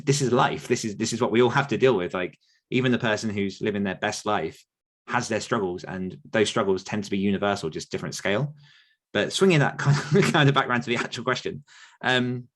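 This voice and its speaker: A man's voice: on the low side, fairly quick. A man in his thirties talking casually about his writing career, rambling a little with restarts and the odd laugh. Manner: rambling with frequent restarts and self-deprecating laughs. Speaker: podcast host and author answering listener questions. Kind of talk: casual conversational chat.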